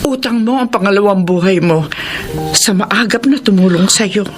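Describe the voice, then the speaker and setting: A woman's voice: mid-range voice, moderate pace, music in the background, close to an everyday voice. An actress with a Filipino accent playing a caring, solicitous mother figure in a radio drama. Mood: relieved, caring.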